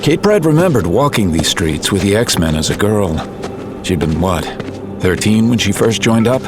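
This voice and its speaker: A man narrates an audio drama in a steady, measured storytelling voice. His pitch sits low, and a clear music bed plays under his reading.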